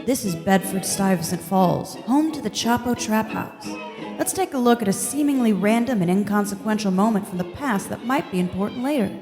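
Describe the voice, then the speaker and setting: A woman playing a casual, wry, deadpan God narrating a comedic radio play. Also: mid-range voice, brisk, long reverb tail, over music, close to an everyday voice. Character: blasé, crude, wry God in heaven.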